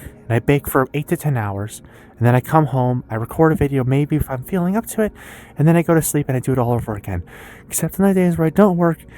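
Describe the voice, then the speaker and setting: A woman in her early twenties with an American accent talks candidly and casually in a confessional personal vlog, rambling haltingly with pauses and some swearing. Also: deep voice, mellow. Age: early 20s. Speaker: vlogger and streamer.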